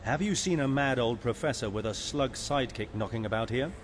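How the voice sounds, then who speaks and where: A man's voice: medium pitch, brisk, background noise, natural voice. A man playing a sardonic, easygoing mercenary pilot in a space video game, with a wry, casual manner. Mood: casual, probing.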